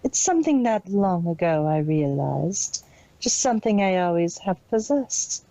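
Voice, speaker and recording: A woman's voice: on the low side, big pitch swings, measured pace, dark tone, no echo, low-quality recording. A woman voicing a cold, detached, imperious female pony villain boss in an amateur story reading. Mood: smug, self-assured. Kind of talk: amateur fan fiction audio reading.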